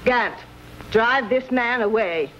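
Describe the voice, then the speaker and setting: Stern society aunt, muffled sound. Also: female voice, fairly high pitch, takes it slowly, a bit bright. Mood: icy, commanding. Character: stern, proper middle-aged society aunt. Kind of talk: 1950s television western acting. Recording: muffled, hissy old TV soundtrack.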